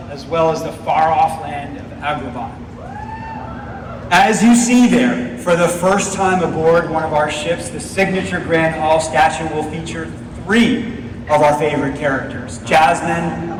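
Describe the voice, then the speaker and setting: A man's voice: fairly high pitch, recorded from a distance. A man giving an upbeat, projected keynote presentation on stage as a company executive, addressing a live audience. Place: large darkened convention auditorium, on stage. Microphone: stage PA heard from far back in audience. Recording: audience phone recording, echoey hall, crowd noise.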